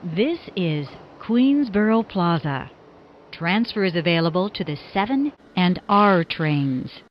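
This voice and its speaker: Slow-paced woman announcing train stops. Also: on the low side, animated, highs cut off. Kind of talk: scripted transit announcements.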